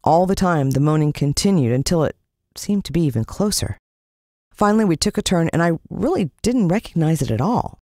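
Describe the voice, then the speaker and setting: An American woman narrating a scripted children's adventure story in an expressive style. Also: low-pitched, a little fast, no room sound, studio quality. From United States. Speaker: audiobook narrator.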